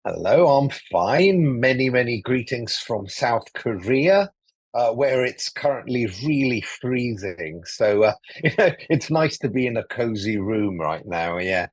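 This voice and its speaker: A man in his forties from Greece talks as a guest on a remote call, rambling easily and filling his pauses. His voice is husky and sits at a middle pitch. The sound is dry and close. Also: no echo. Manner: relaxed, rambling with many fillers and restarts. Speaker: podcast guest, traveller. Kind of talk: casual interview talk. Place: cozy room.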